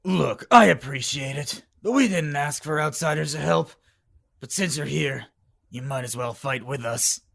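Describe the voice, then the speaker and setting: A man voicing a stern, hot-headed alien war commander in an animation, with a gruff, commanding and impatient delivery. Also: fairly high pitch, moderate pace, fairly bright tone. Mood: gruff, decisive. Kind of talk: amateur animation voice acting.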